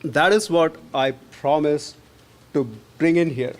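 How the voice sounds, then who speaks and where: A man giving a persuasive candidate speech at a homeowners' meeting, earnestly making his case and often checking in with a quick "right?". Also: a little high. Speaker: board candidate.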